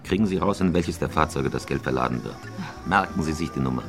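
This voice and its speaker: An actor playing a cold, terse professional criminal in a radio crime drama, with a low gravelly, controlled and commanding voice. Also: male voice, fast, over music. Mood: businesslike, cold. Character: Parker, cold, terse professional male criminal planning robberies.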